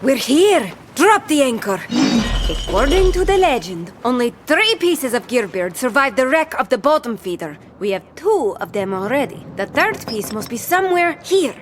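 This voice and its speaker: A woman's voice: high-pitched, big pitch swings, normal speed, background noise. A woman playing a bossy, treasure-obsessed pirate captain in a cartoon adventure, theatrical and full of salty pirate slang. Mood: commanding. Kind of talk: animated cartoon adventure storytelling.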